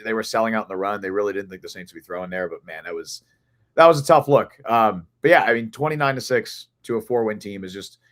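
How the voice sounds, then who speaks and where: A man with a hoarse, raspy voice from losing it, co-hosting a sports podcast and thinking aloud in long, earnest run-on stretches. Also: on the low side, fast talker, fairly bright tone. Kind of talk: casual sports analysis chat.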